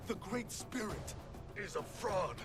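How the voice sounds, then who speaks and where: Grim warrior, slow and intense. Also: male voice, high-pitched, lively intonation. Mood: solemn, bitter. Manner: solemn, intense, biting. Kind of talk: dramatic video game cutscene acting. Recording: loud music under dialogue.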